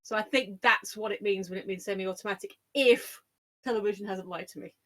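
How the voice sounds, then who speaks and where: A woman's voice: mid-range voice, brisk, a bit bright. A woman co-hosting a podcast, explaining things in casual conversation. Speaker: podcast co-host.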